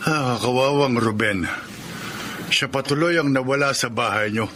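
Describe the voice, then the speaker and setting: Man acting a gentle, fatherly older Filipino man. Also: medium pitch, noisy background, lightly acted. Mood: concerned, fatherly. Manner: gentle, measured, sympathetic. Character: kindly older male employer, fatherly, concerned, calm. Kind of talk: Filipino radio drama acting.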